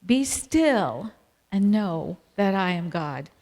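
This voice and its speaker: A woman's voice: medium pitch, very animated, slow, a little mellow. A middle-aged or older American woman giving a religious family seminar talk to a live audience. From Appalachian Virginia, United States. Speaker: seminar speaker. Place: large hall at a camp meeting. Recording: reverberant hall sound system.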